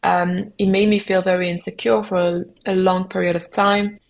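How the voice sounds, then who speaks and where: A young adult woman, a French native speaker, answering podcast questions reflectively and pausing often to find her words. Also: lowish voice, flat delivery, gravelly. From Belgium. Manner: reflective, searching for words with frequent ums. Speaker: musician guest.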